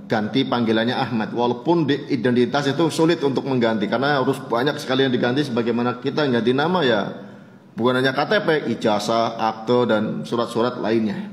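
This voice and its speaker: An Indonesian man preaches in a big, reverberant space, his mid-pitched voice ringing with echo. He explains things conversationally, like a teacher telling an anecdote for its lesson, and drops in short tags to check that listeners follow. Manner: conversational, explanatory, often punctuating with short tag words. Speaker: Islamic preacher. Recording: echoey hall with long reverb.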